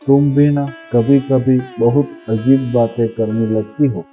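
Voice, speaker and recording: A man's voice: medium pitch, fairly even intonation, a little slow, dull audio, soft background music, natural voice. A man playing a weary village father who works as a woodcutter in a cartoon story. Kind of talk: Hindi cartoon story dialogue.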